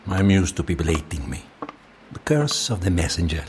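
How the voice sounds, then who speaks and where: A man playing a courteous, proud and enigmatic Venetian mask maker in an audio drama, measured, with an Italian accent. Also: low voice, big pitch swings, slight background noise. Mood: enigmatic, calm. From Venice, Italy.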